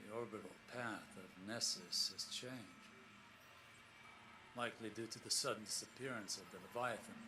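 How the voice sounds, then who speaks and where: A man performs a solemn, scholarly space explorer dictating a log for a video game. He is weary and troubled, choosing formal words slowly and gravely, with brooding pauses. Clear background noise surrounds his voice. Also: lowish voice, fairly even intonation, lightly acted. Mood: grave, concerned. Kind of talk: scripted audio log in a science fiction game.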